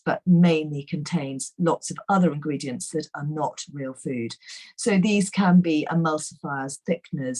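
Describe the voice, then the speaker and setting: A middle-aged woman with a croaky, hoarse voice, giving an enthusiastic, chatty webinar talk with frequent fillers. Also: sits low, a little mellow. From England. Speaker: guest nutrition expert.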